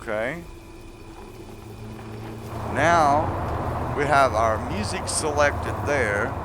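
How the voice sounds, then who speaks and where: A man's voice: mid-range voice, drawn-out words, very noisy. A man giving a casual step-by-step video game tutorial, often checking in with the listener as he explains. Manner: repeatedly checks in with "see" and "okay". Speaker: gamer making a tutorial video. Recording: loud music playing behind the voice. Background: game music and vehicle sounds.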